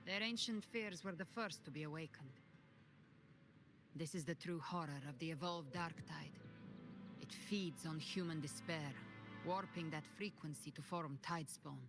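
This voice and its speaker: Solemn female warrior for a fantasy game: slightly low, authoritative, measured delivery. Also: music in the background. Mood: grave, solemn. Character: composed, solemn woman warrior with secret powers. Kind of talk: dramatic game voice acting.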